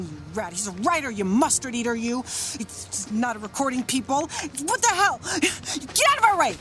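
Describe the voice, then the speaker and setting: An actress playing a tipsy, defiant wife in a period radio drama, rambling boldly. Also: female voice, medium pitch, natural voice. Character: tipsy, defiant, flirtatious wife of a travelling writer.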